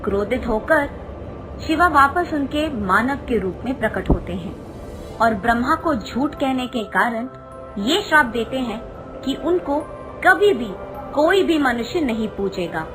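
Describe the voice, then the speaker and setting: High-voiced woman narrating a devotional story. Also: lively intonation, measured pace. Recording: music behind the narration. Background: background music.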